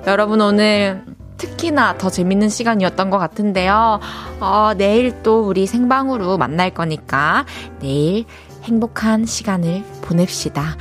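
A woman hosting a radio show, chatting casually and playfully and laughing easily with a guest. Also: mid-range voice.

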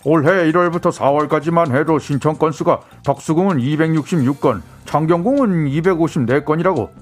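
A man's voice: a little high, a little fast, soft background music. A man giving a radio news briefing with mock-archaic, old-fashioned speech endings and a chuckling manner. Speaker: news segment guest on a radio show.

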